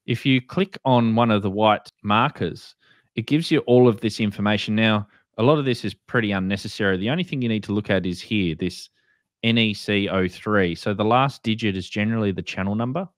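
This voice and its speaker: Male host, 30s, Australian, low-pitched, casually instructive, recorded close and dry. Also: brisk, no room sound. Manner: informal, instructive. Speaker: host of a ham radio show. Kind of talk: casual explainer on a livestream. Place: small indoor room, video call.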